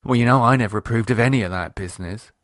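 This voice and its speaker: A man voicing a sharp-tongued wife, talking in a blunt, quick, scolding way. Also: mid-range voice, no room sound, clean studio sound, natural voice.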